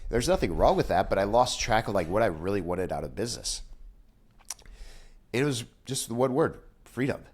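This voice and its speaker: Young guy w a low stuffed-up nasal voice, chatty vlog about his business, lots of uh and restarts. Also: very animated, normal speed, slightly rough. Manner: candid, rambling, frequent fillers and restarts. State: nasal, congested after nose surgery. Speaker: business owner vlogger. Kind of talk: casual direct-to-camera talk.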